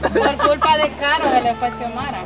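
A woman's voice: high voice, steady tone, moderate pace, distant mic, natural voice. A young woman, playing a defensive villager in an improvised amateur skit. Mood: scornful. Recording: loud music behind, outdoor camera.

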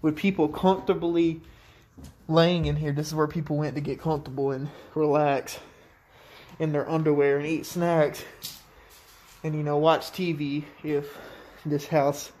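A man filming himself as he walks through an abandoned house, musing slowly about the decay. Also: on the high side, flat delivery, darkish tone, a little hiss or hum. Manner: slow, musing, easily startled. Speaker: urban explorer. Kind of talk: casual commentary while exploring. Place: abandoned house, indoors, empty rooms. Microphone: handheld phone camera.

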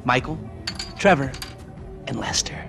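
A man's voice: medium pitch, lively intonation, measured pace, vocal fry, over music. A man playing a nerdy American criminal mastermind in a video game, wry and theatrical, with mock-formal speeches broken by hesitations. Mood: storytelling. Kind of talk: video game cutscene acting.

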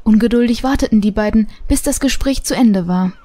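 A calm storyteller voiced by a woman narrating an audio drama. Her voice is soft and mellow in tone and pitched fairly high. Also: brisk, dry recording, natural voice.

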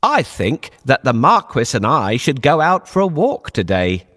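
A man's voice: medium pitch, sing-song, fairly bright tone, no echo, studio quality. Man voicing a clever, theatrical storybook cat. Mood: scheming, confident. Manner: theatrical, polite, deliberate. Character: clever, courtly male cat hero, helpful and scheming. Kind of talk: children's storybook character acting.

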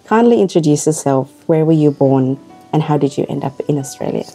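A woman with a notably low, dark and soft voice hosts a studio interview on a community channel. She speaks formally and keeps nodding along with short affirmations, while faint music sits underneath. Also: expressive, brisk. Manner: warm formal welcomes, frequent affirming interjections. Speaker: talk show host. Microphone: table microphone.

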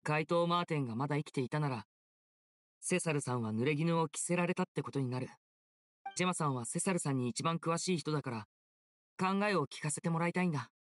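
A man playing a polite, gentle, self-possessed young stage magician in an anime game, courteous and reassuring. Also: on the high side, fast, a little hiss or hum, natural voice. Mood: earnest, persuasive.

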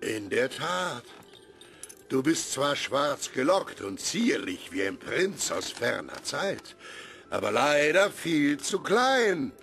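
Pompous theatrical judge, creaky, wildly swoopy pitch, florid audio drama style. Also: male voice, sing-song, slow, fairly bright tone, heavily acted. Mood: condescending. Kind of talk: German audio drama acting.

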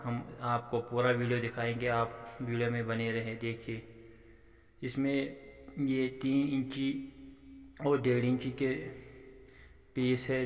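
An echoing, reverberant recording carries a man with a Kashmiri accent. His pitch barely moves as he narrates informally like a farmer showing his work. He explains in a halting, instructive way and often repeats himself. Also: a bit bright. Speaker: sheep farmer and channel host. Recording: echoey, reverberant room sound.

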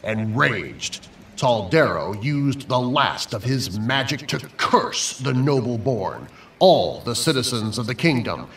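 A man's voice: medium pitch, fairly bright tone. A man telling an old legend as dramatic scripted narration. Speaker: storyteller. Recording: echoey, distant, faint ambient noise.

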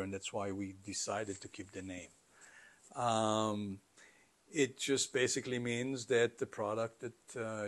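A middle-aged man giving conversational, explanatory interview answers in a halting way, as a company founder. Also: on the low side. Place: carpeted listening room, indoors.

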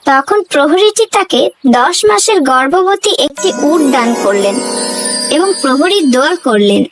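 Lively high-pitched woman storyteller. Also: normal speed, music in the background. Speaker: narrator. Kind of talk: scripted storybook narration.

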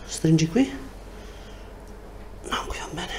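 A man in his thirties working as a chiropractor, giving short, calm instructions to a patient in a treatment room. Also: takes it slowly, echoey room, background noise. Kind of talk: hands-on treatment talk with a patient.

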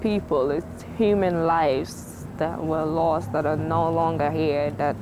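A young woman answers questions outdoors on a city street. She speaks sincerely and reflectively in a lowish voice. Faint street noise hums in the background. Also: fairly even intonation, slow. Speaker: community organizer. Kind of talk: interview answers.

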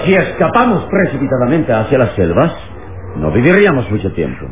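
An actor playing a calm, authoritative heroic leader in an old radio drama, speaking firmly and deliberately. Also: male voice, medium pitch, a loud crowd. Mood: calm, resolute. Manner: firm, commanding, deliberate. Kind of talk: vintage radio drama acting. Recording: tinny, noisy old radio recording.

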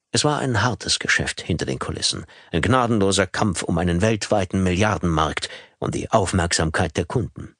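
A man reading an audiobook in a calm, measured storytelling manner, close to a studio microphone. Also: deep voice, vocal fry, slightly rough, dry recording. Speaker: audiobook narrator. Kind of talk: scripted audiobook narration.

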